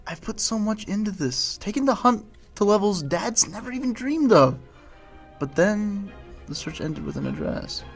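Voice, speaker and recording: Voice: medium pitch, lively intonation, moderate pace, breathy edge. An actor voicing Billy, an earnest, disillusioned young alien hunter with a wounded air, in an audio drama. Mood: frustrated. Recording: music under the voice.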